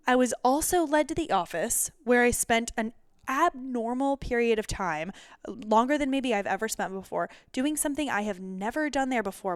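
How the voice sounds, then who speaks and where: A woman's voice: on the high side, smooth, no echo, clean studio sound. A young adult woman hosting a health podcast, chatty and rambling, often cutting herself off mid-word. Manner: chatty, rambling, self-interrupting. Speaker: podcast host. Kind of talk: solo intro then interviewing.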